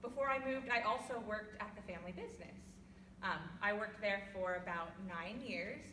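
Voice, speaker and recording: Young woman, loud and bright, giving a laughing personal talk to a church audience, recorded far off in a roomy hall. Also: mid-range voice, a little hiss or hum. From California. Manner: loud, laughing, self-deprecating. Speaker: guest speaker. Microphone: no microphone, far from recorder.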